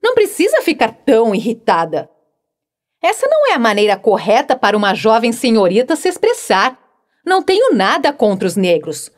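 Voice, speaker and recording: A woman's voice: sits high, voice pushed up. Woman doing a frank cheerful young maid. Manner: frank, cheerful, matter-of-fact. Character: good-humored plainspoken young housemaid.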